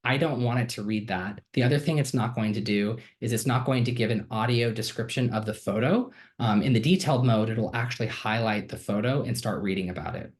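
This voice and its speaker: A man's voice: fairly low pitch, fairly quick, few pauses. A man, a student, giving a casual and enthusiastic screen-share walkthrough of a digital textbook feature, with frequent ums and uhs. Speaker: student giving a software demo.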